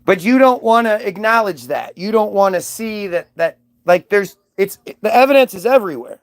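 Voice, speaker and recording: A man's voice: sits high, lively intonation, normal speed, no room sound, clean studio sound. A man hosting a livestream, arguing heatedly with viewers in a mocking, combative way, swearing and restarting his sentences.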